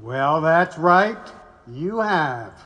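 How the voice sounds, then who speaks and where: An actor playing a blustering, contemptuous 1880s city mayor in an audio drama. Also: male voice, fairly high pitch, animated, drawn-out words, dull audio. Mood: scornful. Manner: blustering, scornful.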